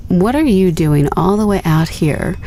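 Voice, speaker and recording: A woman's voice: fairly low pitch, lively intonation, a little slow, dark tone, slight background noise. An actress playing a guarded, wry young woman explorer in an intimate sci-fi audio drama, with a dry, understated, teasing delivery over a spaceship comms link. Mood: wistful, dry.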